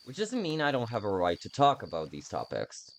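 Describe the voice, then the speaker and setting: A man giving casual, rambling gameplay commentary, self-deprecating and quick to swear, as he streams a video game. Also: medium pitch, huge pitch swings, a little hiss or hum.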